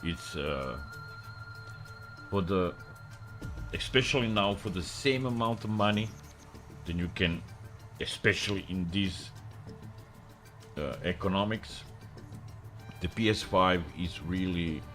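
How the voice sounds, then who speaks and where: A man from Croatia with a Croatian accent, chatting casually on a live stream in broken English, rambling with many pauses. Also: low voice, fairly bright tone, faint music behind. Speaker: livestreamer.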